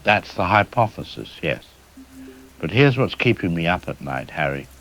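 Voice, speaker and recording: Careful worried seismologist guy, a man acting it, real deep voice, explains slow and hedges. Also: big pitch swings, fairly bright tone, slight background noise, heavily acted. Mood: measured. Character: calm middle-aged male seismologist, measured and worried. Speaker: expert guest. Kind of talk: audio drama news interview.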